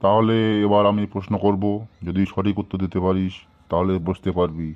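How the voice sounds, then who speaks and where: A man's voice: low voice, fairly even intonation, brisk, muffled recording, lightly acted. A man playing an exasperated, easily angered schoolteacher in a comic cartoon, scolding and long-suffering. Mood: weary, irritated.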